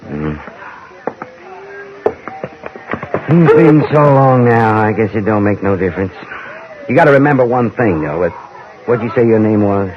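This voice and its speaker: A man playing a brooding, weary American ex-raider cowboy in a radio western, halting and rambling in a folksy drawl. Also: on the low side, lively intonation, background noise, natural voice. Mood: bitter, reluctant. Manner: halting, rambling, plain-spoken. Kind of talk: old-time radio western acting. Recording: dull vintage radio recording.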